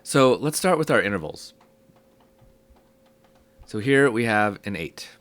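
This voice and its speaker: A man who is sick, his voice low and rough, teaching a casual music theory lesson and thinking aloud with frequent ums. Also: big pitch swings, unhurried, fairly bright tone, dry recording.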